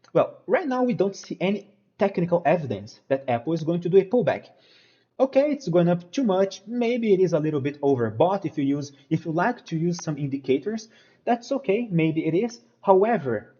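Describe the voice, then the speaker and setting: A man's voice: fairly high pitch, dark tone, dull audio. A man from Brazil with a Brazilian Portuguese accent, walking viewers through a stock chart analysis and repeating his points with emphasis. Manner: emphatic, repeats phrases, addresses viewers as guys. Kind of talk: technical analysis walkthrough over a chart.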